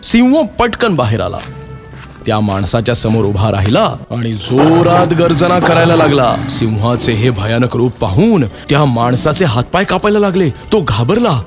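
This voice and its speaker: A man's voice: mid-range voice, animated, normal speed, hardly any pauses. A man narrating a scripted moral story for children as a storyteller. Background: background music.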